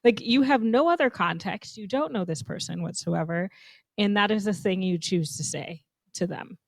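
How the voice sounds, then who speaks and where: A young woman from Zambia, co-hosting a podcast in animated, thoughtful casual conversation. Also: medium pitch, normal speed, gravelly, no room sound. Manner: animated, thoughtful, laughs often. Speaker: podcast co-host.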